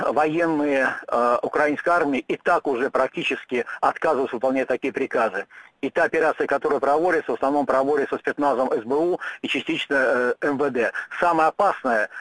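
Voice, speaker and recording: A man answering questions in a radio interview, speaking in firm, emphatic statements broken by frequent "uh" pauses. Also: fairly high pitch, fairly bright tone, no room sound, rough recording.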